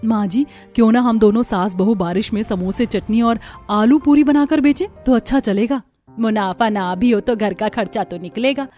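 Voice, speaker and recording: A young village daughter-in-law voiced by a woman, respectful, hardworking and hopeful. Her cartoon voice is fairly high, with music behind it. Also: moderate pace, dark tone, close to an everyday voice. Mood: hopeful, practical. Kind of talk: Hindi moral-story cartoon dialogue.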